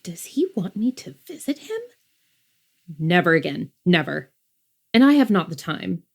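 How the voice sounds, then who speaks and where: A woman's voice: lowish voice, big pitch swings, unhurried, gravelly, full character voice. A woman acting a haughty, petulant mother who complains theatrically in a full-cast audiobook. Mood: dismissive, curt. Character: haughty, petulant mother devoted to her music.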